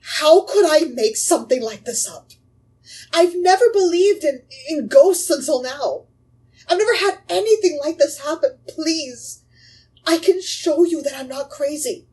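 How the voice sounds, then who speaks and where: A woman's voice: sits high, animated, measured pace, a little mellow, far from the mic. A woman acting an anxious, pleading young mother haunted by a ghost in an audio drama.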